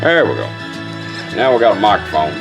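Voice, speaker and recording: A man's voice: on the low side, sing-song, a little fast. An American man giving a casual, unscripted product demonstration as a radio restorer, plainspoken and rambling in a folksy way. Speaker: car radio restorer demonstrating his work. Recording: music playing behind the voice.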